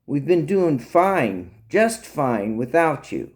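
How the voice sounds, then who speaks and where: Storybook squad member, male narrator in role: keen, plain-spoken young man, pitched up and animated. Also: takes it slowly. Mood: dismissive.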